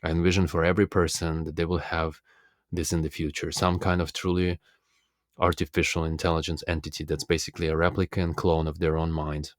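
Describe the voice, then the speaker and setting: A man whose first language is Russian speaks in a very low voice with little melodic movement. He delivers a philosophical self-help monologue, earnest and deliberate. The sound is dry and close, free of room reflections. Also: little pitch movement, dry recording. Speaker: self-development coach and speaker.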